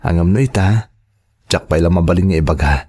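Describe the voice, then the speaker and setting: A man's voice: sits low, a little fast, dark tone, husky. A man playing a hesitant, evasive father of a young daughter in an Ilocano audio drama. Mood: apologetic, evasive.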